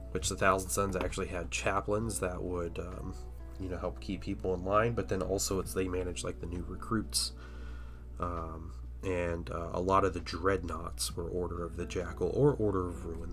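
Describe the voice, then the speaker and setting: A man's voice: low-pitched, a bit bright. A man with a stuffy cold and a nasal voice hosts a casual livestream while painting miniatures. He rambles, hesitates, and drops into murmurs as he works.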